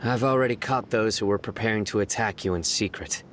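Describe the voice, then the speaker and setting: An actor playing a stern, serious young man who enforces the law, speaking in a grave, measured, restrained way. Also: on the low side, a bit bright, slight background noise, lightly acted. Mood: steady. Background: game music.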